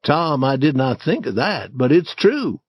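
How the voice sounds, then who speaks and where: A timid, nervous boy, played by a man reading aloud. He speaks a little higher than a grown man and stumbles over his words when frightened. The sound is dry and slightly muffled. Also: very animated, unhurried, slightly rough, no room sound. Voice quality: slightly raised pitch. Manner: hesitant, stammers when scared. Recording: dry, slightly dull recording.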